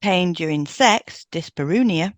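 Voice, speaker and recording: A woman's voice: lowish voice, lively intonation, a little slow, dry recording. A woman reads as co-host of an educational podcast, with a very dry, close sound. Her delivery is informative and scripted, spelling out terms carefully.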